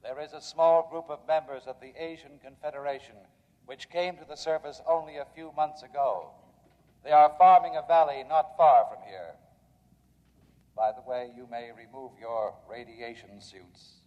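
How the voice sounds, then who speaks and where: A male actor plays a robot caretaker in a vintage radio drama. He speaks formally and evenly, with cool logic and no emotion, in a slightly high male voice. The sound is dulled and rings with long reverb. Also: steady tone, natural voice. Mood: calm, matter-of-fact. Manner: measured, formal, unemotional. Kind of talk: old-time radio drama acting. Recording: muffled old radio recording, reverberant.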